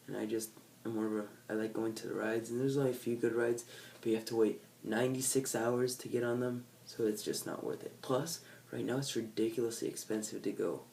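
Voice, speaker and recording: A man's voice: on the low side, little pitch movement, normal speed, vocal fry, a little mellow, far from the mic, a little hiss or hum. A teenage guy from California with a nasal voice, chatting to camera in a casual, unplanned, rambling way that keeps correcting itself. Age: teens. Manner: rambling, self-correcting, laid-back. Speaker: vlogger. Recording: faint background ambience, set back from the mic.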